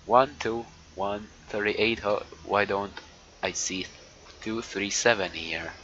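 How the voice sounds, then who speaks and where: A man live streaming a visual novel, reading it aloud haltingly and muttering casual asides. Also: fairly low pitch, a bit bright. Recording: dull lo-fi mic with noise.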